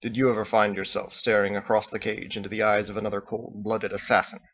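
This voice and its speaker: A man voicing a wry, smug and courtly male seafarer in an audiobook, with formal, unhurried phrasing. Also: fairly low pitch, level tone, fairly bright tone, lo-fi sound. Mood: musing. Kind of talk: character voice in audiobook narration.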